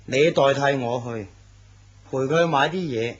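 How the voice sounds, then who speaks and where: An actor playing an arrogant, domineering company president in a radio drama. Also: male voice, mid-range voice, unhurried, natural voice. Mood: commanding. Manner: curt, domineering. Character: arrogant middle-aged male company president. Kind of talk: Cantonese radio drama acting. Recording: dull lo-fi recording.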